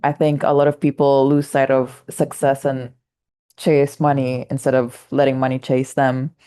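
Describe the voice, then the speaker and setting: A woman with a low-pitched voice hosts a casual interview. She laughs easily and fills her questions with hesitations. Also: little pitch movement, normal speed, dark tone, smooth. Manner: laughs easily, speaks with many fillers. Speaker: interview host.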